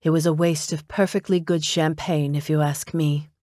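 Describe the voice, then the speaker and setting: Audiobook role: woman plays wealthy widow, prim and clipped, disdainful, lowish pitch, dry sound. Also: fairly even intonation, normal speed, a little mellow, dry recording, natural voice. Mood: disapproving.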